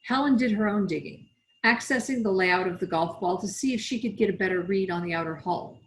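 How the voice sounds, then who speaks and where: A woman's voice: medium pitch, fairly quick, rough recording. A woman reading as an author, in the style of scripted audiobook narration. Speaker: author reading from own novel.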